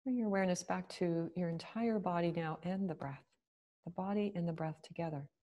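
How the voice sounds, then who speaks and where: A woman leading a guided meditation, speaking slowly and gently with long pauses. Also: on the low side.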